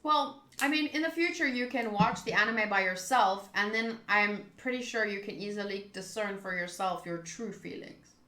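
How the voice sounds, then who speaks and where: A woman's voice: medium pitch, normal speed, pushed and bright, slight background noise. A chatty woman livestreaming a visual novel, reading its narration aloud and commenting casually in reaction to the game and viewers. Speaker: game streamer. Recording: roomy echo.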